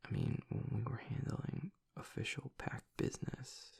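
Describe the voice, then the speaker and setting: A man playing a grieving young werewolf boyfriend, tough but vulnerable, choked up and halting as he confides in an intimate audio roleplay monologue. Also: darkish tone, natural voice. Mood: reverent, sorrowful. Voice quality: breathy, gravelly near-whisper. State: choked up with grief.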